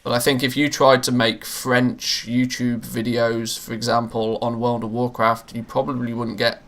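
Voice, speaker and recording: A man's voice: mid-range voice, level tone, normal speed, fairly bright tone, faint music behind. A man streaming live and chatting casually with his viewers, rambling with many restarts and fillers.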